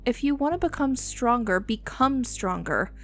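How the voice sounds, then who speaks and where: A woman voicing a bossy, world-weary but protective female vampire in an ASMR roleplay, speaking in a haughty, condescending, deliberate way. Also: on the high side, expressive, a bit bright, soft background music. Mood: scornful. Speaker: voice actor in an audio roleplay.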